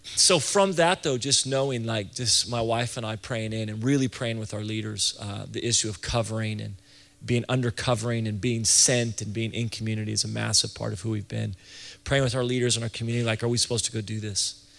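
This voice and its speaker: A man's voice: fairly low pitch, fairly even intonation, creaky, fairly bright tone. Man teaching in a reverberant hall. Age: late 30s to early 40s. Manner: conversational, halting, often restarts and repeats phrases. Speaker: ministry leader and church planting teacher. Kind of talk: informal sermon-style teaching talk to an audience.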